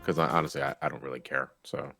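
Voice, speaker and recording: A man's voice: rumbling low, fairly even intonation, fast, creaky, sharp tone, soft background music. A man co-hosting a livestream, trading casual, teasing jokes with some swearing. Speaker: livestream co-host. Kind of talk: casual joking banter.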